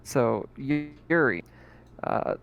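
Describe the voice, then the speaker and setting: A man with a gravelly, slightly creaky voice runs a science-fiction tabletop game over voice chat. He talks in a relaxed, easygoing way, with plenty of ums and small laughs between lines. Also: mid-range voice, drawn-out words, phone-quality sound. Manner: relaxed, laughs often, many fillers. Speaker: game master.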